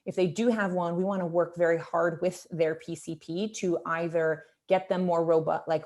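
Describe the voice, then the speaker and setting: A woman doctor whose home language is Lithuanian presents on a video call panel, earnest and quick to restart her sentences. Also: fairly low pitch, darkish tone. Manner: earnest, strings questions together with restarts. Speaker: emergency physician presenting for a hospital team.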